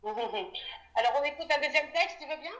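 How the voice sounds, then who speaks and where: A woman hosting a podcast interview, asking long thoughtful questions with many halting fillers. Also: high-pitched, animated, fairly bright tone. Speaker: podcast host. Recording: roomy echo.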